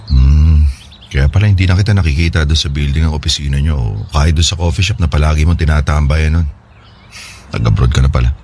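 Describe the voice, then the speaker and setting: Very deep-voiced, regretful husband acted by a man. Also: fast, darkish tone, slight background noise. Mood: surprised, reflective. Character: regretful, earnest separated husband and devoted father. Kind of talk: Filipino radio drama dialogue.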